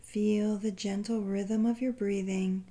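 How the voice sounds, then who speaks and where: Female meditation guide: relaxed, warm tone, very slow pace, gentle and soothing, spacious pauses. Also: medium pitch. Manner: gentle, unhurried, soothing delivery with long pauses.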